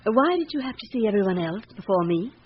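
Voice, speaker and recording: Radio-drama wife female actor. Lively intonation, sharp-tongued, touchy and superior; faintly noisy vintage sound. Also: medium pitch, fast talker, close to an everyday voice. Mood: impatient. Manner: sharp-tongued, indignant, haughty. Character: haughty, brittle wife and mother, suspicious and easily affronted. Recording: dull, noisy old radio recording.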